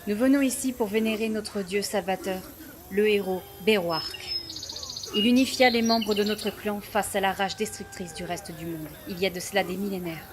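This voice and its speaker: A woman playing a proud, solemn female chief of a primitive village clan in a fantasy audio drama, speaking in formal, measured phrases. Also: medium pitch, fairly even intonation, background noise, natural voice. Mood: reverent, firm. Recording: voice under clear background music.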